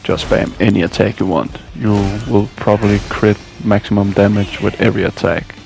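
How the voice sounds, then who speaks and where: A man's voice: on the low side, steady tone, moderate pace, vocal fry, darkish tone. A man narrating a casual video game walkthrough as a scripted voice-over, with dry, weary asides. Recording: music behind the voice. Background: game music.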